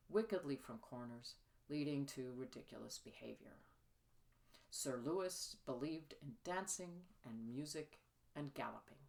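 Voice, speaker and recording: A woman narrates period fiction as its author. Her reading is scripted and measured, with an expressive storytelling manner. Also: a bass voice. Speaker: author reading own novel.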